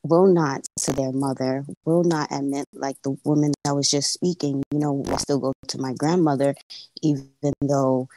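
A young woman in her twenties calls into a show and talks at length, full of ums and restarts. Her voice is low and mellow for a woman. It sounds dry and close, with no room around it. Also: fairly even intonation, moderate pace, no echo, rough recording. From United States. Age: 20s. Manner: hesitant, many fillers, wordy. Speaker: caller. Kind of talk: casual conversation.

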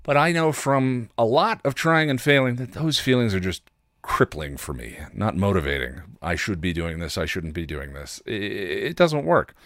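A man hosting a podcast with a candid personal monologue, reflective and halting, with wry, self-deprecating delivery. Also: on the low side, expressive, fairly bright tone, no room sound, studio quality. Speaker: podcast host.

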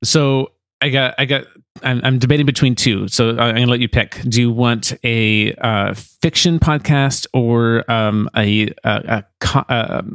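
A man hosts a podcast in a relaxed, conversational style. His pitch is mid-range and he often pauses and gropes for words while explaining. The recording is dry, with hardly any room sound. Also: no room sound. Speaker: podcast host. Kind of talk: casual podcast chat.

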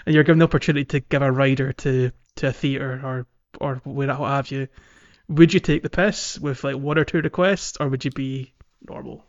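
A man's voice: medium pitch, fairly even intonation, fairly quick, highs cut off. A man chatting casually and wryly as he hosts a video game podcast. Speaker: podcast host.

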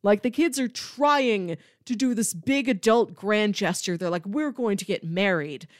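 A woman's voice: medium pitch, a little slow, dry recording. A woman talks through a film as a podcast co-host in a mid-range voice. She is casual and thoughtful, leaning often on "like" as she works out her point. The sound is dry, with no room around it. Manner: thoughtful, conversational, frequent "like" filler.

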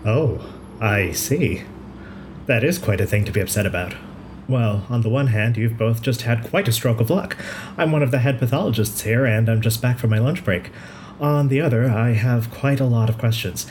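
Guy playing a friendly curious doctor in an audio drama, chatty, thinks out loud, noisy ambience behind. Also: medium pitch, normal speed, close to an everyday voice. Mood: curious, concerned. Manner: chatty, considerate, thinks aloud. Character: kindly, brisk hospital pathologist, nonbinary, friendly and curious.

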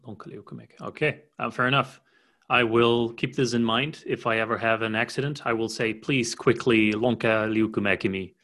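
A man's voice: medium pitch, steady tone, normal speed, a bit bright. Easygoing male podcast co-host. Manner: easygoing, explains with light humor. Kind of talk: casual technical chat.

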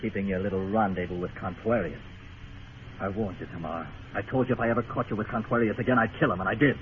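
An actor playing a bitter, sardonic disgraced doctor turned crooked gambler in a radio Western, talking in clipped phrases. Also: male voice, lowish voice, fast talker, narrow, tinny audio, lightly acted. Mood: jealous, menacing. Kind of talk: 1950s radio drama acting. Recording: thin noisy old radio recording.

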